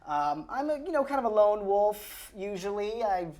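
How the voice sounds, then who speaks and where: A woman player improvising her character in a tabletop roleplaying game, chatting casually in a wry, joking, halting way. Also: medium pitch, sing-song, a little mellow.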